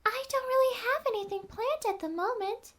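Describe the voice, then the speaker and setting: A woman voicing a timid, sweet, childlike high school girl with a hesitant manner in an anime fan dub. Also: moderate pace, fairly bright tone. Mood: timid, unsure.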